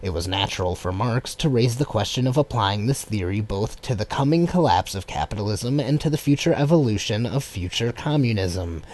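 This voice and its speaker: A man narrating a political text as an audiobook in a measured, formal manner. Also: lowish voice, animated, a little mellow, rough recording. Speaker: audiobook reader.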